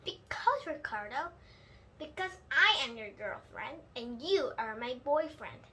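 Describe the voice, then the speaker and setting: Storybook little schoolgirl played by a woman: bossy, lovelorn, emphatic, high and bright, with background noise. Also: lively intonation, a little slow, full character voice. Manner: emphatic, hollering when upset.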